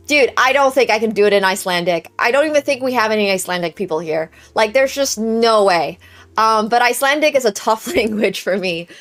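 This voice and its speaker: A young woman, a native Chinese speaker, hosts a casual live stream in a slightly high voice. She chats easily, thanks viewers by name, and tries out phrases in many languages while soft music plays in the background. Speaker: livestream host. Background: faint background music.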